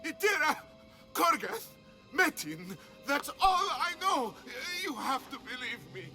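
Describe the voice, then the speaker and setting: High, desperate terrified captive. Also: male voice, big pitch swings, over music, heavily acted. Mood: terrified, pleading. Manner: desperate, halting, crying out. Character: terrified, pleading male captive under torture. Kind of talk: scripted video game drama.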